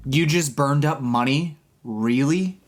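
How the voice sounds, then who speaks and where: A man's voice: on the high side, slow. An actor playing a practical, exasperated young male magician in an emphatic, theatrical audio drama style.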